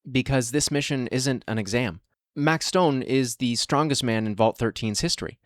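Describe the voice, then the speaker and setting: A man voicing a vault security officer in an audio drama, calm and matter-of-fact as he weighs up the volunteers. The recording is close and completely dry, with no room sound. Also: medium pitch, moderate pace, no room sound, natural voice.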